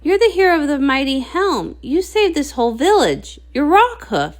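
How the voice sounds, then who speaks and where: Woman doing an eager, high-voiced little unicorn. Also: sing-song, moderate pace. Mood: excited awe. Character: small, clumsy, eager young male unicorn.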